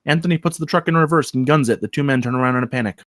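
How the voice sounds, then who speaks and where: A man's voice: mid-range voice, rapid-fire, a bit bright, no room sound, studio quality. A man narrating, reading screenplay action aloud at a table read. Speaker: script reader, narrator.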